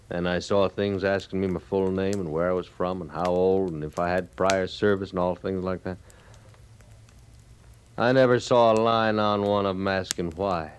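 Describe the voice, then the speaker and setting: An actor playing a wry, laconic frontier drifter with a folksy, evasive manner in an old radio western. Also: male voice, low-pitched, natural voice. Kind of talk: 1950s radio western acting. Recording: old radio recording with faint noise.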